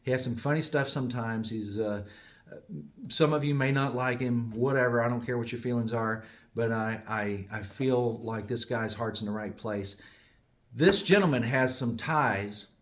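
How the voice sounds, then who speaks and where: A man's voice: medium pitch, normal speed. A man from the United States, a small business owner, giving a casual, digressive update that often corrects itself and chuckles along the way. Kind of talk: casual rambling monologue.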